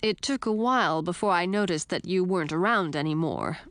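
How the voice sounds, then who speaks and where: Woman voicing a cocky, animated male frog prince. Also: fairly low pitch, dry recording. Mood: self-assured. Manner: brisk, self-assured, teasing. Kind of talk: audiobook character acting.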